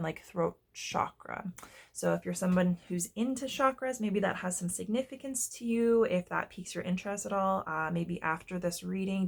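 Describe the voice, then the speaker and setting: A woman giving a casual tarot reading to her viewers, congested and hoarse, chatty and often stopping to search for words. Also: on the low side. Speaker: tarot reader.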